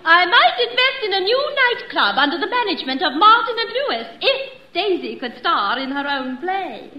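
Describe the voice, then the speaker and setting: A woman's voice: very high-pitched, sing-song, normal speed, bright, forceful tone, exaggerated voice. An actress playing a gushing, middle-aged rich society mother with fluttery, affected politeness in an old radio comedy. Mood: eager, scheming. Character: gushing wealthy society matron mother, pushing her daughter. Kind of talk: 1940s-50s radio comedy acting. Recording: roomy, dull old radio recording, audience.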